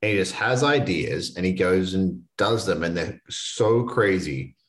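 A man's voice: deep voice, unhurried. A man in his late twenties hosting a solo motivational podcast, talking in a casual, earnest way. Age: 20s. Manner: casual, earnest, conversational with restarts. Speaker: podcast host.

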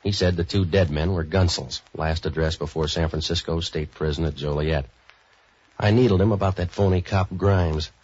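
A hard-boiled waterfront tough guy from an old radio detective show. His voice is very low and husky, the delivery deadpan and cynical, on a dull vintage recording. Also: normal speed. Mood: deadpan narration. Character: hard-boiled, street-wise, wisecracking waterfront man for hire. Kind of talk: 1940s radio detective acting and narration.

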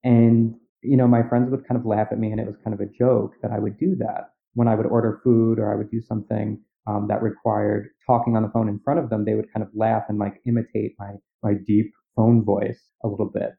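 A man co-hosting a podcast who speaks in a measured, reflective way. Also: lowish voice, level tone, vocal fry. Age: adult. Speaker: podcast co-host, therapist. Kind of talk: casual conversation. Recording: tinny phone-like audio.

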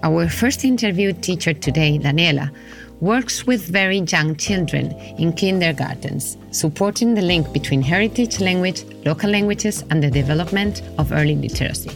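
A woman hosting an interview, reading out questions and narration in a measured, scripted way. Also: fairly low pitch, lively intonation, gravelly, faint music behind. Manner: measured, read-aloud delivery. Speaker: interviewer and host.

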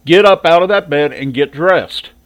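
A man's voice: fairly high pitch, normal speed, voice pushed up, lightly acted. A man voicing a tired teenage boy trying to get his little sister out of bed. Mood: weary. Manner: pleading then firm. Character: weary teenage boy, older brother.

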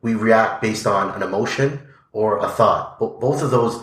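A man's voice: on the low side, moderate pace, fairly bright tone, roomy sound. A man speaking as a podcast guest, earnest and reflective, with lots of "like" and "you know". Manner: earnest, filler-heavy, reflective. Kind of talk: casual interview conversation.

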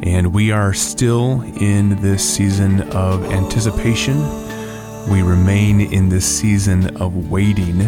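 A man's voice: deep voice, fairly even intonation, takes it slowly, over loud music. A man hosting a conversational devotional reflection, speaking thoughtfully and posing rhetorical questions. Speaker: host giving a scripture reflection.

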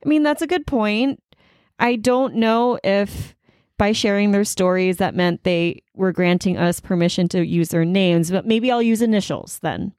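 A woman co-hosting a podcast in casual chat, playful and quick to laugh. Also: mid-range voice, a little mellow, no echo, clean studio sound. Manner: chatty, laughs often, light and playful. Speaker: podcast co-host.

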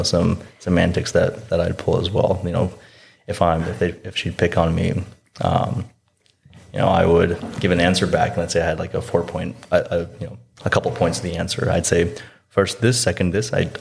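A young man from Colorado chats as a podcast guest, telling stories in a loose, halting way. His voice sits very low with a gravelly fry. He restarts his sentences often. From Denver, Colorado. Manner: relaxed, halting storytelling with restarts. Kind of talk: casual interview chat.

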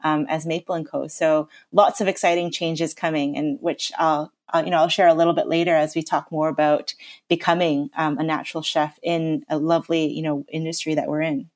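Chatty Canadian woman, low voice. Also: little pitch movement, a little fast. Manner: upbeat, chatty, many "you know" fillers. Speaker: chef and lecturer, podcast guest. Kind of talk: conversational podcast interview.

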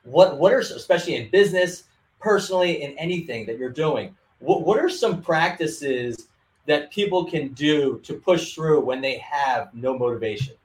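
A man's voice: a little high, lively intonation, moderate pace. Hyped podcast host guy, echoey room, says stuff twice. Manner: enthusiastic, repeats his phrases. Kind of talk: casual interview hosting.

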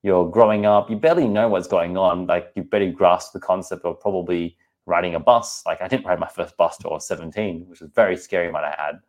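Australian man, 30s, deep voice; chatty, candid podcast host talking straight to listeners. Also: fairly even intonation, quick pace, a little mellow. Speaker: podcast host and personal trainer. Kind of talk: casual podcast monologue.

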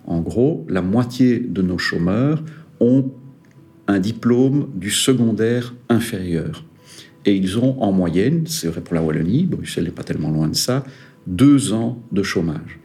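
A man, a regional director of an employers' federation, giving measured interview answers with some hesitant fillers. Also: lowish voice, animated, dark tone. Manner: measured, hesitant fillers, stressing key points.

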